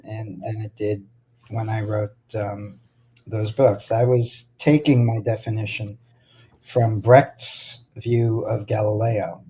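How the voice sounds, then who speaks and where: A man's voice: on the low side, dark tone, slightly rough. A man speaking as a guest author, explaining ideas in a reflective, conversational style.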